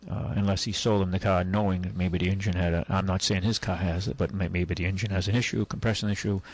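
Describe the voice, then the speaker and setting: A man hosting a call-in radio show, giving casual advice in a halting, rambling way. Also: low-pitched, fairly even intonation, a little mellow, no room sound. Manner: halting, rambling, conversational explanations. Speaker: radio call-in show host, car dealer.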